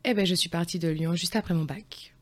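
A woman's voice: on the low side, brisk. A woman in her forties, a guest on a podcast, answering thoughtfully with many hesitations and restarts. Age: 40s. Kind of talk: conversational interview.

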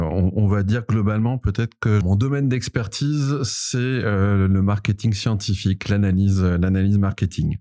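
A man's voice: lowish voice, mellow. A man in his forties with a Parisian accent, answering interview questions with many fillers and restarts. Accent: Parisian French. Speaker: podcast co-host, data specialist, interviewed guest.

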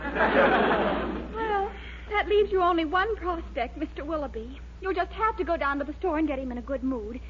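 A woman playing a bossy, cheerful young schemer with brisk, coaxing delivery in a 1940s radio sitcom. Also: high voice, lively intonation. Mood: coaxing, confident. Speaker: comic actress. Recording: muffled 1940s radio recording, faint noise.

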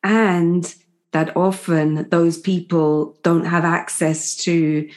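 A woman's voice: lowish voice, little pitch movement, takes it slowly. A woman interviewer asking attentive, affirming questions. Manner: attentive, affirming, with soft listening sounds.

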